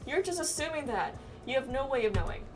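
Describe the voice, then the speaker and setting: A woman playing a game with friends, reading its dialogue aloud casually and haltingly, with reactions of her own. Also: sits high, expressive. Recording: game music behind the voice. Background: video game music.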